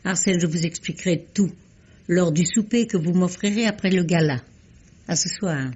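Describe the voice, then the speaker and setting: A woman's voice: fairly low pitch, normal speed, a little mellow, slightly rough. A shrewd, motherly amateur sleuth. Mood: brisk. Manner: composed, motherly, authoritative. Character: older lady sleuth, kindly and shrewd. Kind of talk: 1950s radio drama acting. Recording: dull old radio recording.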